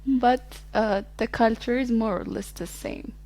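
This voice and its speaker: A woman's voice: a little high, steady tone, measured pace, warm tone. Easygoing woman in her twenties. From Ethiopia. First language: Amharic. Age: 20s. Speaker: podcast guest. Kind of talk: casual interview chat.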